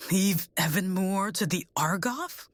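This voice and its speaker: A woman's voice: mid-range voice, lively intonation, takes it slowly, no echo. A woman playing a blunt, urgent, commanding army general in a fantasy audio drama. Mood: alarmed.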